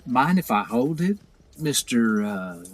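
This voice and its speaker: A man's voice: medium pitch, very animated, slow pace. A man playing a friendly, gullible frontier fellow with a rural American accent, folksy and polite, in an audio drama. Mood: impressed, curious.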